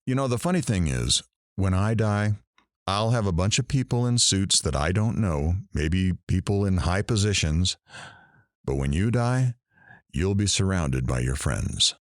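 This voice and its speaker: Radio drama host, played by a man: deep voice, expressive swings, weary, reflective, theatrical and measured. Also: no room sound, clean studio sound.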